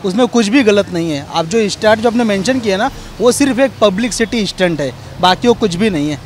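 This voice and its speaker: Argumentative Indian male passer-by, high pitch, emphatic and repetitive, faint traffic noise. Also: animated, a little fast. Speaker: member of the public giving opinion. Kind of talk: argumentative vox pop opinion.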